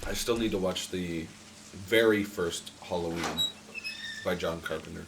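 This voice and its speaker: A man's voice: sits low, unhurried, creaky, background noise. A man joining a casual gaming stream, teasing his friends and laughing easily. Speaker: co-player.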